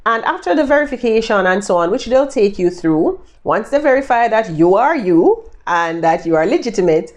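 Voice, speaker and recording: A Jamaican woman chats through a casual tutorial, upbeat and speaking directly to her viewers. Her voice sits at a middle pitch but swings widely and expressively as she talks. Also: very animated, moderate pace, hardly any pauses. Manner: chatty, upbeat, addresses viewers directly with endearments. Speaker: vlogger giving freelancing tips.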